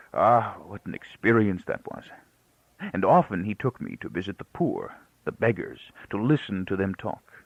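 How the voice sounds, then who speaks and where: A man voicing a grieving, pious old rabbi and father in an audiobook, speaking quietly and haltingly with solemn, emphatic repetition. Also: on the low side, breathy edge, no room sound, dull audio, full character voice.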